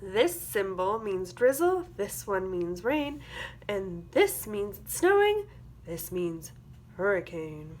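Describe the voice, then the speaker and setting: A woman's voice: mid-range voice, big pitch swings, slow, a little hiss or hum. A woman teacher reading a picture book aloud to young children, expressively, with simple explanatory asides. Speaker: early-grades classroom teacher.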